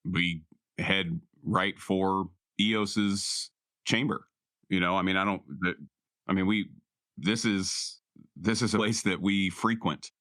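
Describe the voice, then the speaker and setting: A man playing a tabletop role-playing game, explaining his character in a halting, reflective, casual way. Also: sits low, fairly even intonation, bright, forceful tone. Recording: music playing behind the voice. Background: music.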